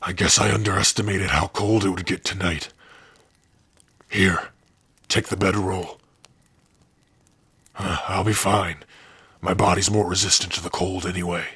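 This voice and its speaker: Man voicing a husky, low-voiced tender orc. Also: flat delivery, no echo, exaggerated voice. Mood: bashful, tender. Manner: slow, halting, softly intimate. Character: big gruff tender orc warrior, shy and devoted. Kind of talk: ASMR roleplay. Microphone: close dry microphone.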